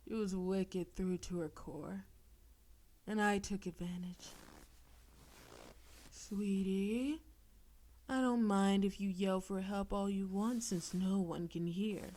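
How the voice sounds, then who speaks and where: Woman voicing a slow, seductive, possessive demoness. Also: mid-range voice, mellow, close to an everyday voice. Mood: commanding. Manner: slow, teasing, coaxing, theatrically menacing. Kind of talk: intimate audio roleplay, scripted monologue to listener.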